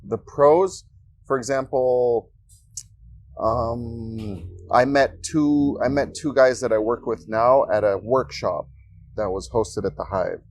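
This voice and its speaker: A man from Ecuador speaking as a guest in a casual interview in a coworking space, relaxed and joking as he tells stories. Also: medium pitch, takes it slowly, soft background music. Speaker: guest, freelancer.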